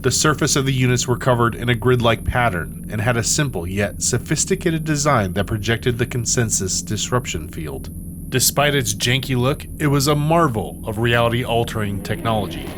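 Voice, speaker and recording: Male audio drama narrator over music. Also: mid-range voice.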